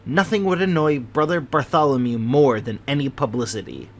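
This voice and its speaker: A man voicing a fidgety, anxious bald little man of thirty with a high, thin voice and fussy, overly polite manner. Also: moderate pace, slight background noise, close to an everyday voice. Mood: excited.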